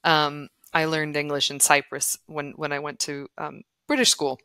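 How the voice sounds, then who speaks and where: A woman's voice: deep voice, flat delivery, normal speed, bright, forceful tone, no echo. A woman novelist who grew up in the former Yugoslavia and speaks Serbo-Croatian natively, talking thoughtfully in a podcast interview with many restarts. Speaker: novelist guest.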